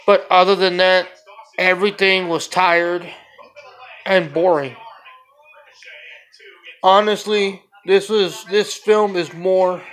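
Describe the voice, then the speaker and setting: A man with a noticeably high-pitched voice delivers an off-the-cuff amateur review. He is blunt and opinionated, complaining in an exasperated way with plenty of profanity and repetition. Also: fairly bright tone, low-quality recording. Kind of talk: casual opinionated rant review.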